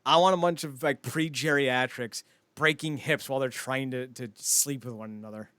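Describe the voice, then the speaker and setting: A man's voice: mid-range voice, normal speed, bright, forceful tone, smooth, no echo. A man hosting a podcast with casual, comedic chat, riffing and swearing freely. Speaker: podcast host.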